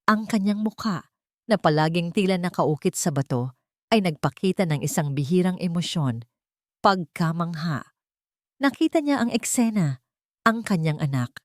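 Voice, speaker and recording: A woman with a Filipino accent narrating a dramatic first-person story in measured, solemn, deliberate phrases. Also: lowish voice, darkish tone, no room sound, clean studio sound. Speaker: audiobook narrator.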